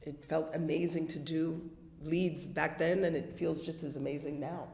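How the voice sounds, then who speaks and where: A woman from the United States, a band member, telling stories in a casual interview while slightly hoarse from losing her voice. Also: deep voice, fairly even intonation, normal speed, darkish tone. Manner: chatty storyteller, many fillers and restarts. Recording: echoey room.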